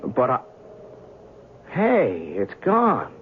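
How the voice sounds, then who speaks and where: An actor playing a young, skeptical newspaper writer, chatty and curious, in an old-time radio drama. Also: male voice, mid-range voice, wildly swooping pitch, very slow, exaggerated voice. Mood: curious, flustered. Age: young adult. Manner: hesitant, stammering in dialogue. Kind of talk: old-time radio drama acting, first-person narration and dialogue. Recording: tinny, band-limited old radio recording, faint noise.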